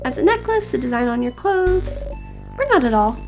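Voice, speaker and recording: A gentle, bubbly young maiden acted by a woman speaks high and with lots of lift. She explains kindly and earnestly, with game music behind her. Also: big pitch swings, moderate pace. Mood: bright, encouraging.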